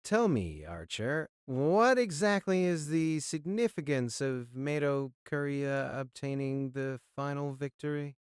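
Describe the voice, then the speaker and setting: A man plays a stern and humble clergyman in an audio drama. His male voice is slightly high with a mobile melody, recorded close and dry. The delivery is formal, grave and self-controlled. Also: expressive, unhurried, hardly any pauses, smooth, no room sound. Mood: puzzled. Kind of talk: audio drama character acting.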